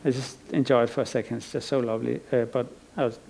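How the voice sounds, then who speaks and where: A man's voice: mid-range voice, fairly even intonation, fast, gravelly, darkish tone, poor audio. A middle-aged man from Iceland giving a conference lecture as an artist, thoughtful and rambling, with frequent restarts and fillers. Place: lecture stage.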